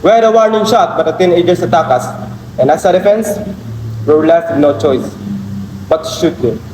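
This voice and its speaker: A man's voice: fairly high pitch, lively intonation, fairly quick, lightly acted. A young man with a Filipino accent playing a formal, firm police witness in an amateur courtroom drama, recorded in an echoey, noisy hall. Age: young adult. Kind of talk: amateur stage courtroom drama, scripted delivery.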